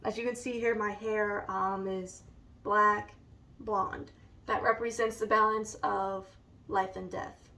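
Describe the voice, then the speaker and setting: A woman with a raspy, allergy-hoarse voice, slowly and hesitantly showing a scrapbook in a casual vlog. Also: mid-range voice, flat delivery, bright, forceful tone, recorded from a distance. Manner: slow and hesitant, many ums. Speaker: vlogger. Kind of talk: casual show-and-tell vlog.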